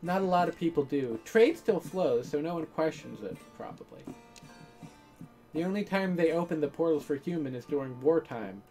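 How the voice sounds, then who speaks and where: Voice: medium pitch, lively intonation, normal speed, mellow, faint music behind, lightly acted. A woman voicing a guarded, grumpy young sister in a visual novel game. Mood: wary.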